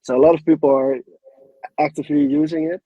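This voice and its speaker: A man's voice: fairly high pitch, little pitch movement, fast talker, warm tone, dry recording, lo-fi sound. A man whose native language is Dutch, giving a webinar presentation in a casual, hesitant way with many fillers. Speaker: guest presenter.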